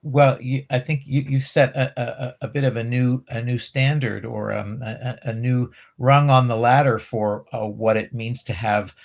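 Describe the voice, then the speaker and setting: A man moderating a film Q&A in a conversational, measured way, with hesitant pauses between phrases. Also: medium pitch, steady tone, gravelly. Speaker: Q&A moderator, interviewer. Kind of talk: conversational film festival interview.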